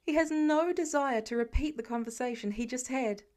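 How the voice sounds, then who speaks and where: A woman narrates a piece of fiction as an audiobook reader. Her voice sits a little higher than most women's. The delivery is scripted storytelling, read aloud line by line. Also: moderate pace, darkish tone.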